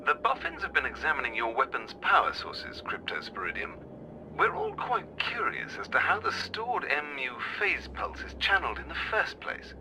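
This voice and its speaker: An actor playing a clinical, sinister interrogator scientist in a video game, prim and menacing. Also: male voice, on the high side, wildly swooping pitch, normal speed, background noise. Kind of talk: video game cutscene acting.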